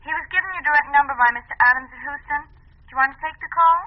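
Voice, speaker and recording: An actress playing an efficient, formally polite office secretary in a radio drama. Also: female voice, high-pitched, quick pace, natural voice. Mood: businesslike. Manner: crisp, formal, courteous. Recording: tinny, phone-like radio drama line.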